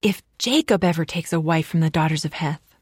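A woman's voice: low voice, fairly quick, breathy edge, no echo, studio quality. A woman voicing an anxious mother of two sons in a dramatized scripture reading, speaking urgently and insistently. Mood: weary.

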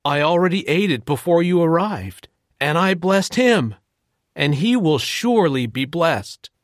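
A man voicing an aged, trembling patriarch father in a dramatized scripture reading, with a slow, solemn, quavering delivery. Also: medium pitch, animated, no room sound, clean studio sound. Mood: shaken.

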